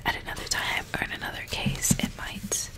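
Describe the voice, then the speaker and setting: Whispering woman reading a story. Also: fairly quick. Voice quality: unvoiced breathy whisper. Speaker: ASMR creator reading a novel aloud. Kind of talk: whispered ASMR audiobook reading. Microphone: close ASMR microphone.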